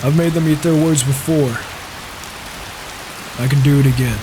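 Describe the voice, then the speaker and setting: A man's voice: a little high, fairly even intonation, normal speed, lightly acted. A man playing a proud, brash wandering warrior in a fantasy game roleplay, challenging and sardonic, on a noisy microphone. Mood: sardonic, grumbling. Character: proud, cocky, reclusive male wandering warrior. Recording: noisy microphone with rain and thunder.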